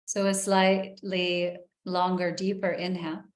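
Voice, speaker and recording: A woman leading a guided breathing meditation in a soft, soothing way, with long pauses. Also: on the low side, flat delivery, reverberant.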